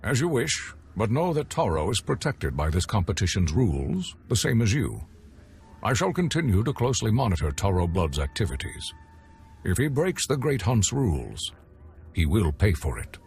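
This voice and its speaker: Grata, a grave formal contest official. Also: male voice, fairly low pitch, big pitch swings, vocal fry, darkish tone, breathy edge, exaggerated voice. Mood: measured. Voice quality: measured, formal and precise. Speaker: tournament official. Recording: music behind.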